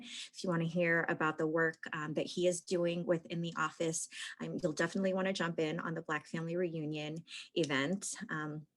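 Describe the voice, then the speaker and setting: A woman with a somewhat low voice hosts an online alumni event. She moves quickly through casual, informal introductions. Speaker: event host. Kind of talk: virtual event moderation.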